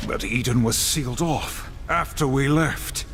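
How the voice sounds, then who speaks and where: A man's voice: medium pitch, a little slow, fairly bright tone, slight background noise, natural voice. A man playing a stern, dutiful, brooding warrior in a game cutscene, grave and formal. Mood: pensive. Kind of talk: video game cutscene acting.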